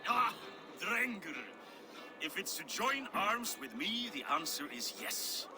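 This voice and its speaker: Boisterous, higher-pitched warrior noble. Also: male voice, very animated, slow pace, music in the background, heavily acted. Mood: jovial, challenging. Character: boisterous, hearty hard-drinking male warrior noble. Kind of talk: cinematic video game acting.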